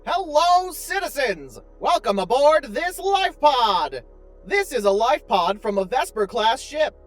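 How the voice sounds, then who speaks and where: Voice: sits high, very animated, unhurried, over music. An actor playing a chipper, oblivious, unfailingly polite tour guide AI in a space audio drama, speaking with formal, cheerful precision. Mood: chipper, informative.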